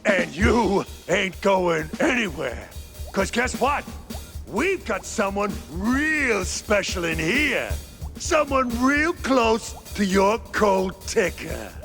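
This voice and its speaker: A man's voice: high voice, very animated, slow pace, a bit bright, soft background music, heavily acted. A man playing a menacing, fanatical cartoon mouse villain who taunts theatrically. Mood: taunting.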